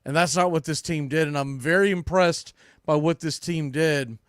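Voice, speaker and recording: A man with a slightly high, very clear and smooth voice, recorded close and dry. He delivers opinionated baseball commentary as a podcast host, leaning hard on key words and stretching them out for emphasis. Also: moderate pace, a bit bright, no room sound.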